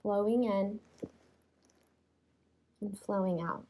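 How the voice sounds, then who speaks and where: A woman leading a guided yoga relaxation in a soothing, unhurried way, leaving long pauses between phrases. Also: medium pitch, steady tone, dark tone. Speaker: yoga nidra teacher.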